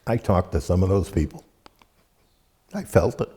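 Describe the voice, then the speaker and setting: A man's voice: low-pitched, big pitch swings, moderate pace, mellow, husky. An older man answering interview questions as an aviation expert, blunt and emphatic in unscripted speech.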